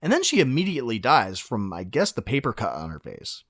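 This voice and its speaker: Wry, lively man narrating a comic recap. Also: mid-range voice, a little fast, husky, no room sound, clean studio sound. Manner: wry, sarcastic. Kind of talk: casual, joking scripted narration.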